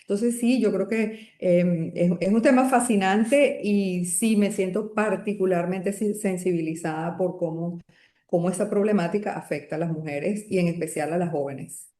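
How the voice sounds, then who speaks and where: Woman, Venezuelan accent, reflective, hesitant restarts, mellow tone, echoey room. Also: fairly low pitch, normal speed. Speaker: guest. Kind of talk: podcast interview.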